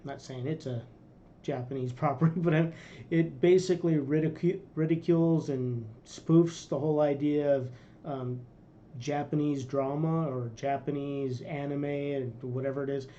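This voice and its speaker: A middle-aged man rambles through casual commentary from a small room, circling back with fillers. His voice sits a bit high but sounds mellow. The webcam audio is dull and lo-fi. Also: fairly even intonation, moderate pace, a little hiss or hum. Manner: rambling, with many fillers and restarts. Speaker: reaction video host.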